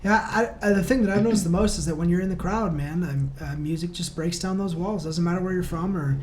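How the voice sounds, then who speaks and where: A man's voice: sits high, fast, darkish tone, a little hiss or hum. A man speaking as a podcast guest in casual chat, explaining things earnestly with some slang. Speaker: band member, podcast guest.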